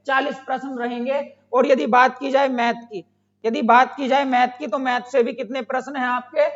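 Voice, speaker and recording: A man's voice: very high voice, little pitch movement, moderate pace. A man who is an exam coaching teacher explains to students, repeating phrases and asking questions that he answers himself. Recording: dull lo-fi sound.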